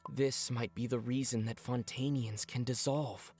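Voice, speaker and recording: A man's voice: medium pitch, steady tone, natural voice. Actor voicing a grave, thoughtful young-sounding game man. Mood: grave, pondering. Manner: measured, articulate, serious. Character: thoughtful, grave man piecing together a prophecy. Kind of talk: scripted video game dialogue. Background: game music.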